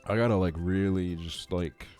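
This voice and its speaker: A man's voice: low-pitched, fairly even intonation, moderate pace, a little mellow, soft background music. A man from Estonia livestreaming a video game, chatting casually in slangy, sweary talk. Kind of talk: casual chat while streaming a video game.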